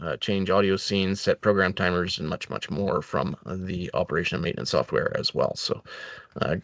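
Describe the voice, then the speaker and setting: A man giving an explanatory product training presentation as a webinar presenter, with halting phrasing and frequent filled pauses. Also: deep voice, vocal fry, dry recording.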